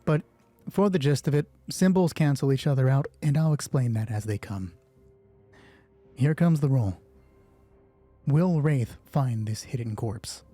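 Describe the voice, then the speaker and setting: Mellow, slightly husky male game master. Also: medium pitch, animated, a little slow, long pauses, soft background music. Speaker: solo roleplay game master and host. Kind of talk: tabletop roleplay narration mixed with casual rules explanation.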